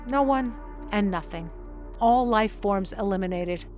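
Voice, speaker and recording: A woman's voice: medium pitch, a little slow, a little mellow, faint music behind, natural voice. A woman playing an unflappable, businesslike alien real estate agent in an audio play, with a salesy delivery. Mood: grave, dramatic.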